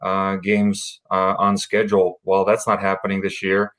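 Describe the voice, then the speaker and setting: Czech-born guy, low flat voice, lots of uh and um, talks hockey scouting like an interview guest. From Czech Republic. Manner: halting, many uh fillers. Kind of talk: casual interview answers.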